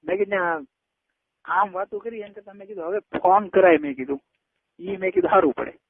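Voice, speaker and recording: A young man with a Gujarati accent chats on a tinny phone line. His voice is high and creaky, and he keeps pressing and coaxing in an informal, private way. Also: very animated, fairly quick, no echo. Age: 20s. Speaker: caller. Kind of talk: casual private phone chat. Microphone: telephone line. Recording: tinny narrowband phone call recording.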